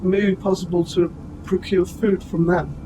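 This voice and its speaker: A man voicing an old military robot, formal, precise and gently protective, in a scripted audio roleplay addressed to the listener. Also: high-pitched, flat delivery, noisy background, natural voice. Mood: calm, informative. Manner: formal, precise, measured phrasing. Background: music.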